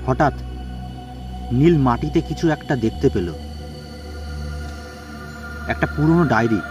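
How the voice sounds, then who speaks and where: A man's voice: mid-range voice, takes it slowly. A man telling a horror story as a dramatic, scripted narration. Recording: music bed behind the voice.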